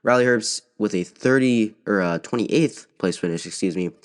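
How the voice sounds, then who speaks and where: A man's voice: fairly low pitch, normal speed. A young man with a cold, sniffling, hosting a casual solo race recap podcast with many fillers and restarts. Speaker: podcast host.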